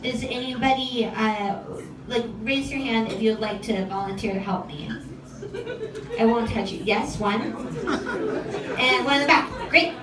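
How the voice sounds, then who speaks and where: A young woman with a lisp performing a stand-up comedy set, wry and self-deprecating, with halting restarts. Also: a little high, lively intonation. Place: comedy club stage with a laughing audience. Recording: echoey room, distant mic, loud crowd.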